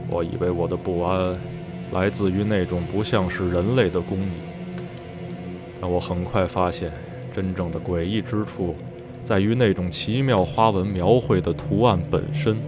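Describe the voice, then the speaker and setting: Haunted storyteller, male actor, low register, brooding and measured with feverish intensity, horror radio drama over music. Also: fairly even intonation, natural voice. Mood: haunted, reflective. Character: male narrator recounting eerie memories, obsessed and haunted. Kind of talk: dramatic radio play narration.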